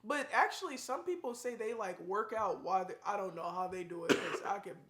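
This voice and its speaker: A woman's voice: medium pitch, fairly quick, a bit bright, roomy sound. A young woman and new mother chatting casually in a family vlog, talking in a rambling way. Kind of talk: casual chat.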